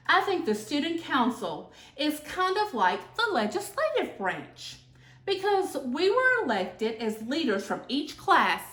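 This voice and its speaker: Woman reading as an earnest, high-voiced schoolchild. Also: a bit bright, full character voice. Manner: earnest, explanatory storybook dialogue. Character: thoughtful, explaining young schoolchild, Grace's classmate. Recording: echoey room.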